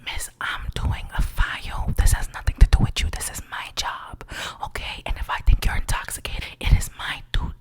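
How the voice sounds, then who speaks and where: A woman's voice: normal speed, natural voice. Woman whispering as a sassy insurance agent. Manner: whispering, patronizing, calls the listener sweetie and honey. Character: sassy condescending female insurance claims agent. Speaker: phone claims agent. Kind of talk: whispered ASMR roleplay.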